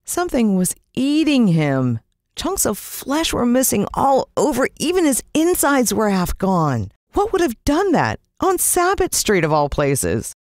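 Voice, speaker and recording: A woman's voice: on the high side, wildly swooping pitch, measured pace, darkish tone, no room sound, clean studio sound, voice pushed up. A woman voicing a distraught, forlorn young boy in an audiobook story. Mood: upset disbelief. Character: distraught young boy mourning his dog.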